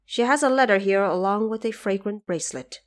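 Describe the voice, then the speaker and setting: A woman's voice: medium pitch, a little fast, close to an everyday voice. Woman playing an earnest young monk. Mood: earnest, polite. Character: earnest, devout eighteen-year-old male monk.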